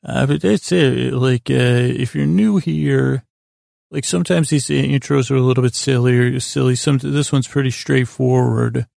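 A man hosting a bedtime podcast, rambling in a halting, meandering, self-deprecating and mildly silly way with a gravelly, creaky voice. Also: medium pitch, darkish tone, no room sound, studio quality. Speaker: podcast host. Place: small closet.

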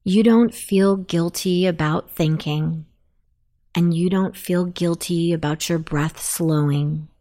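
A woman narrating a guided sleep meditation in a soothing, deliberate manner. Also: on the low side, steady tone, mellow.

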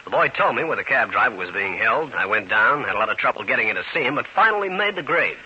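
An actor playing a wisecracking but good-hearted American private eye, narrating and acting in an old-time radio drama. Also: male voice, mid-range voice, sing-song, quick pace, sharp tone, slightly rough, lo-fi sound, heavily acted. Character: wisecracking, good-hearted tough New York private detective.

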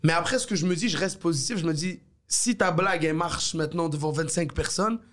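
A man's voice: a little high, fast, fairly bright tone. A man from Morocco, native Arabic speaker, with a Moroccan French accent, chatting as a comedian guest in a laid-back, upbeat way. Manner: laid-back, upbeat, peppers speech with "bro" and "tu comprends". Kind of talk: casual chat.

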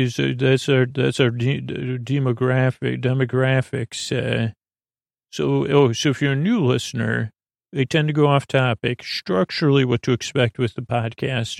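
Male podcast host for a bedtime show: fry-laden voice, rambling and stumbling delivery, deadpan silliness, dry intimate recording. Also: medium pitch, dry recording. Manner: halting, meandering, stammering with many false starts. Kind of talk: rambling, whimsical sleep podcast monologue.

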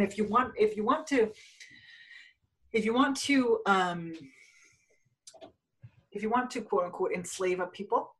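A woman from Denmark speaks in a loose, rambling way, restarting sentences and letting her voice drop off. Her pitch sits in an ordinary middle range. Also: poor audio. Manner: rambling, many restarts and fillers, trails off quietly. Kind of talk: casual spiritual conversation.

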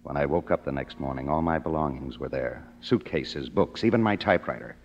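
A man's voice: rumbling low, a little fast, darkish tone, slight background noise. An American man acting as a cynical, broke young screenwriter in a 1950s radio drama, wry and sardonic. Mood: wry, weary. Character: cynical, broke young screenwriter narrating his own story. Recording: muffled old radio broadcast, music behind.

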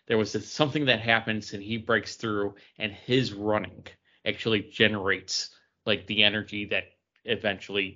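A man's voice: fairly low pitch, pushed and bright. A man co-hosting a podcast, chatting casually about comic books. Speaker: podcast host. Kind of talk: casual comic book review chat. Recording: dull, lo-fi sound.

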